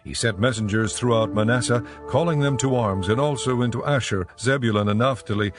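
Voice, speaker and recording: A man narrating a dramatized audio Bible in a measured storytelling manner. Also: mid-range voice, music in the background.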